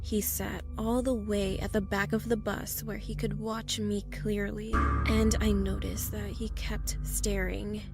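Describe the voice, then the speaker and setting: A woman's voice: mid-range voice, flat delivery, measured pace, creaky, few pauses. Woman narrating horror stories over prominent music. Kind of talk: scripted narration of a scary personal story. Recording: loud music bed behind voice.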